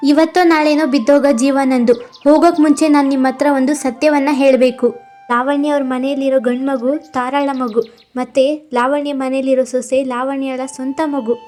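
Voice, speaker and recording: A woman voicing a guilt-ridden old village woman near death, in a lamenting, confessional manner, for an animated storybook. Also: high voice, normal speed, soft background music, natural voice. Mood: confessing.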